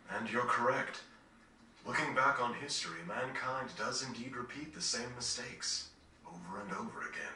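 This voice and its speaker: Thoughtful game gentleman, grave, formal, reflective, lowish pitch, sharp edge, roomy capture. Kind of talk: dramatic video game cutscene acting. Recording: roomy, distant game capture with faint noise.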